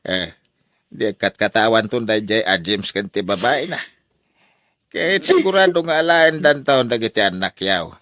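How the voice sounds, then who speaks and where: A man's voice: lowish voice, expressive, normal speed, fairly bright tone, no echo, clean studio sound. An actor playing a stern, worried elderly father who admonishes in an Ilocano accent in a radio drama. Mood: admonishing. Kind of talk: Filipino radio drama acting.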